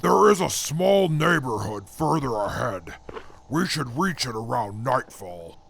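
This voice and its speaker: A man's voice: medium pitch, big pitch swings, unhurried, gravelly, a bit bright, heavily acted. A man playing a disdainful ex-army scout in an audio drama, dry, stern and formal. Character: gruff, disdainful ex-army wasteland scout, male. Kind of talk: post-apocalyptic audio drama acting.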